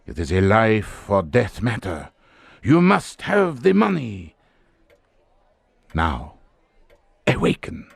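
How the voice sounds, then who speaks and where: An actor playing a self-assured French doctor and hypnotist in a radio drama, measured, theatrical and commanding. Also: male voice, lowish voice, big pitch swings, creaky, slightly rough, no echo, full character voice. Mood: commanding, hypnotic.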